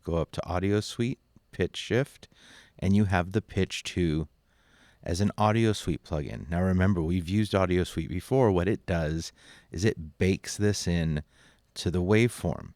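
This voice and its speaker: Male software instructor, deep voice, relaxed screencast delivery that thinks out loud, dry sound with no room. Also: no room sound. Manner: informal, thinking aloud. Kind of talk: casual screen-recorded tutorial narration.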